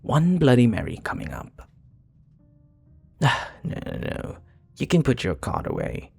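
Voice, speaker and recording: A man's voice: mid-range voice, huge pitch swings, a little slow, vocal fry, darkish tone, husky, soft background music, full character voice. A man playing a charming, sincere vampire bartender who flirts tenderly and hesitantly in an intimate ASMR roleplay. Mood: playful, charming.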